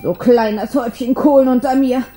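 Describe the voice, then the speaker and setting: A woman's voice: sits high, steady tone, mellow, slight background noise, close to an everyday voice. An actress playing a small, girlish fire spirit in a children's radio play, restless, discontented and whining to get free. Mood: whining, bored. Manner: whining, impulsive, drawn-out.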